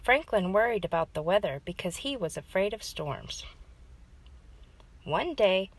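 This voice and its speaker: A woman's voice: medium pitch, lively intonation, unhurried. A woman hosting story time, reading a children's storybook aloud as narrator.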